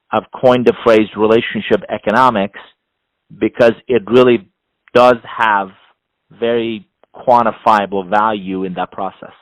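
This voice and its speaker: A middle-aged man from Iran, a non-native English speaker, giving measured, explanatory answers as an interview guest, often building his points in repeated phrases. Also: lowish voice, no echo, rough recording. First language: not English. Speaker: interview guest, executive coach and author.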